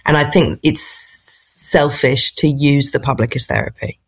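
A woman's voice: low-pitched, unhurried, breathy edge, no room sound, low-quality recording. A woman with a posh English RP accent answers interview questions conversationally, thinking aloud and searching for her words. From England. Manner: reflective, hesitant, searching for words. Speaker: interview guest, stand-up comedian.

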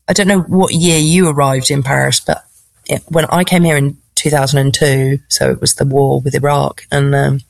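A woman's voice: sits low, vocal fry, dry recording. A middle-aged English woman with a posh British accent, a stand-up comedian talking in a casual interview, often repeating words as she searches for phrasing. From England.

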